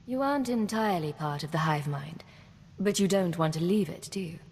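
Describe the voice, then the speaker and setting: A woman's voice: fairly low pitch, animated, brisk, a little mellow, lightly acted. A woman playing a cool, self-assured intelligence agent in a video game, composed and wry. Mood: composed, probing. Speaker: game player character. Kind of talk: scripted game dialogue acting. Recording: reverberant hall, faint background noise.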